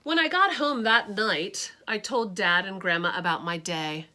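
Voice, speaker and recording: A woman, a teacher, reading a children's picture book aloud in an expressive storytelling manner. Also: mid-range voice, unhurried.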